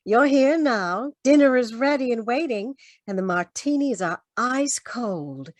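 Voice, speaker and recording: A sultry sweetheart from a noir audio drama played by an actress. She speaks slowly in a lightly high voice with lively swoops of pitch. The sound is dry, and her manner is languid and inviting. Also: no echo. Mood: inviting, affectionate. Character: sultry, welcoming woman sweetheart waiting at home. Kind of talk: noir radio drama acting.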